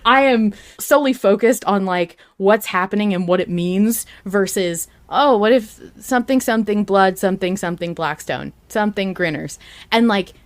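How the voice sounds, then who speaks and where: A woman's voice: medium pitch, moderate pace. A woman hosting a fan podcast, talking casually in a candid, analytical way and swearing now and then. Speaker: podcast host.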